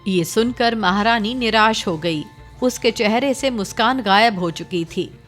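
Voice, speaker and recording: A woman narrating a cartoon story in a steady storytelling manner. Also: on the high side, a little slow, soft background music.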